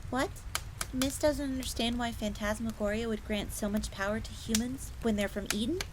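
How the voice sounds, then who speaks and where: A high-pitched young fox maid, played by a woman, earnest and secretive. She confides like a servant sharing castle lore in an audio roleplay, with a crackling fire audible behind her. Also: steady tone, moderate pace, close to an everyday voice. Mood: explaining, curious. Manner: speaks of herself in third person, confiding.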